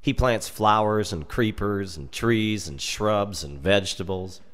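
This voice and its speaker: An American man reading a darkly humorous story aloud in an expressive, measured and dramatic storytelling manner. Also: fairly low pitch. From United States. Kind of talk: expressive scripted narration of a humorous dark tale.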